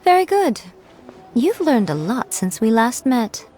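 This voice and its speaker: Elegant shrine priestess, video game character, coy, teasing, lively pitch movement, music underneath. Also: female voice, unhurried. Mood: amused. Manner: coy, teasing, self-assured.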